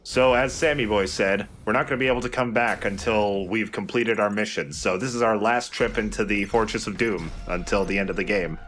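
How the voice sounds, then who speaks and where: Low-voiced man, casual game commentary. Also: a little fast, hardly any pauses, fairly bright tone. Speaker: let's play host.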